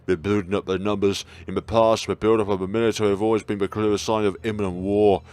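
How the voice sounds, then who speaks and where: A man voicing a paranoid, conspiracy-obsessed male high school student in a visual novel, making ominous, earnest pronouncements. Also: flat delivery, quick pace, a little mellow, lightly acted. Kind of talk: visual novel character reading.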